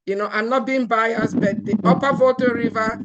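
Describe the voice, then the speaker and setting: A woman's voice: a little high, steady tone, normal speed, lo-fi sound, a little hiss or hum. A woman from Cameroon giving an earnest seminar talk, with frequent fillers and restarts. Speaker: guest speaker.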